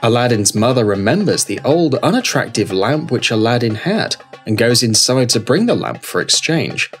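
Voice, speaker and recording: A man narrates a children's story in a mid-pitched, expressive voice. His scripted delivery rises and falls freely, with music playing softly behind. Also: normal speed. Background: background music.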